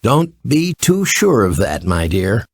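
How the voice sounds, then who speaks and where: A man playing a kindly, confident shaggy wanderer in a children's storybook, reassuring and deliberate. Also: fairly low pitch, sing-song, no room sound, exaggerated voice.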